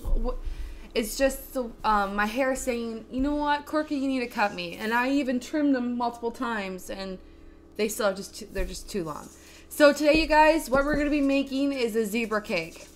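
Bubbly raspy woman hosting a cooking stream. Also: sits high. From Texas. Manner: bubbly, greets viewers one by one, laughs often. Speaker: cooking livestream host. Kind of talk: casual livestream chat. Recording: faint music behind the voice. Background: faint background music.

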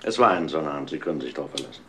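Male inspector, radio crime drama: calmly insinuating, persistent, expressive pitch movement, faint noise behind. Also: exaggerated voice. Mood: pressing. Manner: probing, dry, deliberate. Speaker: police inspector.

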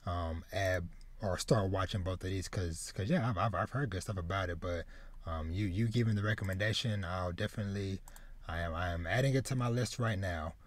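A man in his thirties hosting a casual anime podcast, speaking haltingly and often repeating words as he goes. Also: sits low. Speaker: podcast host. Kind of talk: casual chat about anime. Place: small room with bookshelves, indoors, on a video call.

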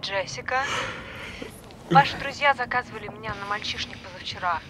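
An actress playing a chatty, apologetic young doctor who moonlights as a stripper, hesitant and informal in a naturalistic audio drama. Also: female voice, medium pitch, brisk, a crowd in the background, close to an everyday voice.

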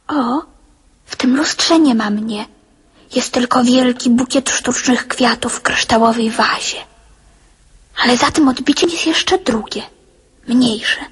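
A woman's voice: a little high, slightly rough, heavily acted. An actress playing a dreamy, curious boy of eight or nine in a radio drama, musing aloud in wonder.

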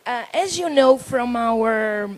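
A middle-aged Brazilian woman, hosting a talk show in English with a handheld mic, halting and reading from notes. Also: a little high, expressive. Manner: halting, reads from notes, laughs often. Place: indoor talk show stage.

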